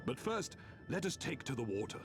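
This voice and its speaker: A man's voice: mid-range voice, sing-song, normal speed, lightly acted. Guy doing a stately old sea turtle spirit, kind and formal, echoey, game music. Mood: solemn.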